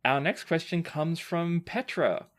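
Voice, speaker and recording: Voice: medium pitch, measured pace. A podcast host and game master reading listener questions aloud and chatting casually, in a conversational, playfully emphatic way.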